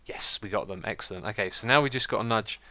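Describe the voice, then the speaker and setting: A British man giving casual, chatty gameplay commentary as a let's play host, with a slightly croaky voice and a self-mocking manner. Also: fairly low pitch, fast, bright, forceful tone, dry recording. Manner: chatty, self-mocking, laughs at own slips.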